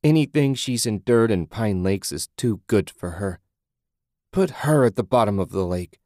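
A man voicing a furious, grieving woman in an audiobook, vengeful, forceful and profane as the character confronts someone. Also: lowish voice, a little slow, no room sound, clean studio sound. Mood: furious, scornful.

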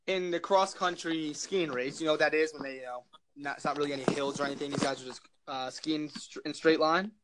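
A young man with a loud, projecting voice, rambling jokily through a casual chat as co-host. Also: fairly high pitch, steady tone, fairly quick, heavy background noise. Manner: rambling, filler-heavy, jokey.

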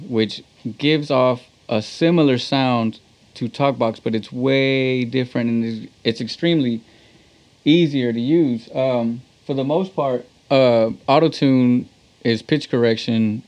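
A man's voice: mid-range voice, darkish tone. A man from Texas with an American accent, chatting casually as an interview guest and explaining things in an enthusiastic, slangy way. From Texas, United States. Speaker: guest, musician.

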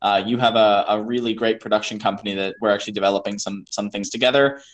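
A man's voice: fairly low pitch, fairly even intonation, quick pace. A man hosting a screenwriting podcast, chatting casually with a guest in a rambling way, full of fillers and restarts. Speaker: podcast host. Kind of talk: casual interview chat.

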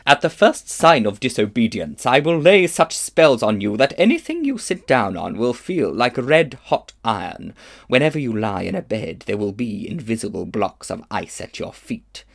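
A man's voice: mid-range voice, sing-song, normal speed, creaky. A man voicing an imperious, menacing witch queen in a storybook, commanding and haughty. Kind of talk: audiobook character acting.

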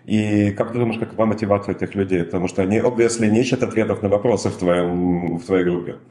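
Man hosting a podcast, lowish voice, probing wordy questions, roomy echo. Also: fairly even intonation, fast, warm tone. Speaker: podcast host. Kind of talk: interview questions.

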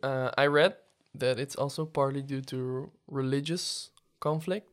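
A man's voice: medium pitch, fairly even intonation, a little slow, smooth. A man hosting a podcast, asking questions in a hesitant, casual way with frequent restarts. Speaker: podcast host. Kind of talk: interviewing, casual chat.

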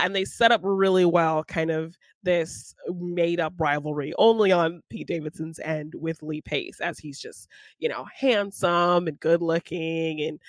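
A woman's voice: sits low, dry recording. A woman co-hosting a casual film chat, retelling scenes in a giggly way and acting out lines as she goes. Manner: giggly, acts out characters' lines. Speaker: podcast co-host discussing films.

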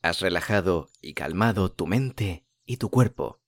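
A man narrating a guided meditation as host, speaking in a calm, soothing, unhurried way with long pauses. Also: low voice, no room sound, studio quality.

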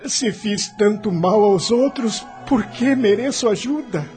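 A man's voice: high voice, measured pace, mellow, soft background music. An actor with a Brazilian accent playing a confused, remorseful spirit of a dead man in a radio drama, anguished and questioning.

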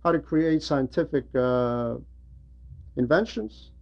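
A man's voice: on the high side, expressive, slow pace, vocal fry, highs cut off. A man delivering an explanatory religious homily in a didactic way, repeating phrases for emphasis. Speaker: preacher and religious teacher.

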